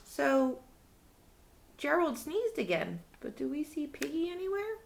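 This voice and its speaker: High-voiced woman reading to young children. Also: sing-song, unhurried, vocal fry, slight background noise. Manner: asks simple questions and answers them. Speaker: children's read-aloud storyteller. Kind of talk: interactive picture-book read-aloud for young children.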